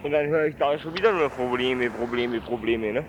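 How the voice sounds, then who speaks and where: A man's voice: mid-range voice, brisk. A man chatting casually and emphatically in a street interview, slangy and repeating himself, with many tag questions. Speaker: passer-by being interviewed. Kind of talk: casual spontaneous street chat. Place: outdoors on a busy street. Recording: clear street and traffic noise.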